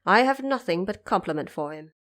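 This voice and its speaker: A woman's voice: mid-range voice, expressive, a little fast, a bit bright, close to an everyday voice. Woman playing a humble, courtly ghost judge of the underworld. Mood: pleased, reassuring. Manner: formal, deferential courtly speech. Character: deceased male underworld judge, humble, deferential, courtly official. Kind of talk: audio drama acting.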